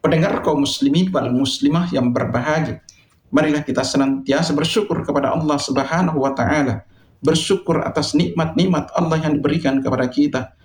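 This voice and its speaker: Echoey, higher-voiced male preacher. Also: fairly even intonation, slight background noise. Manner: solemn, exhorting, deliberate with emphatic phrasing. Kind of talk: sermon addressed to listeners. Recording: echoey, reverberant sound.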